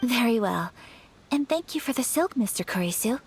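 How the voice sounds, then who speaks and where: A woman playing a polite, principled young noblewoman in a video game, speaking formally and with measured courtesy. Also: fairly high pitch, lively intonation, slight background noise. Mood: gracious.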